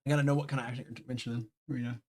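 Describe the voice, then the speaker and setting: A man's voice: mid-range voice, flat delivery, rapid-fire. A man with a light Southern accent, chatting rambly on a live game stream. He restarts his sentences, laughs often and swears casually. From Southern United States. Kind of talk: casual live-stream chat, reading game dialogue aloud.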